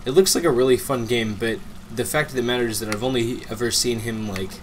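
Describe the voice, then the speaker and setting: A man's voice: medium pitch, fast, slight background noise, low-quality recording. Chatty young man co-hosting a game stream. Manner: talkative, repeats words, filler-heavy. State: slightly nasal from a cold. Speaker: let's play co-host. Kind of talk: casual gameplay chat.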